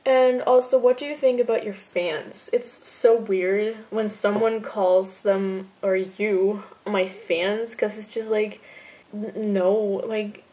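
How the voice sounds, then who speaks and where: A woman's voice: mid-range voice, steady tone, slow pace, dark tone. Chatty woman, filler-heavy. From Austria. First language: German. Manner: chatty, filler-heavy with frequent "like", laughs easily. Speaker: fan video editor answering viewer questions. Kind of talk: casual Q&A vlog.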